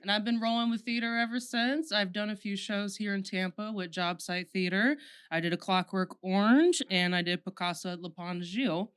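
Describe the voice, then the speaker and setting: A woman's voice: mid-range voice, brisk, clean tone. A young woman from Florida, a podcast host and actor, chatting casually and telling stories with easy fillers. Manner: relaxed, storytelling, with fillers.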